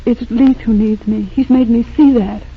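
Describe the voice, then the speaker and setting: An actress playing an earnest, anguished young woman torn by love, with halting, emotional delivery in 1940s radio drama style. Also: on the high side, fairly even intonation, husky. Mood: anguished, sincere. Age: young adult. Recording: muffled, tinny old radio broadcast.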